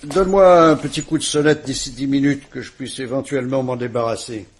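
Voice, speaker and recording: An actor playing a patient, courteous police inspector who speaks in a calm, dry way. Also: male voice, mid-range voice, fairly quick, husky, close to an everyday voice. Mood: weary, offhand. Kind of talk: 1950s French radio drama acting.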